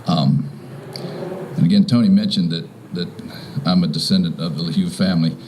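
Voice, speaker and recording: A man in his fifties or older from Virginia giving an informal, halting welcome speech as a property owner. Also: sits low, fairly even intonation, background noise. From Virginia, United States. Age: 50s or older. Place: outdoors in front of a house.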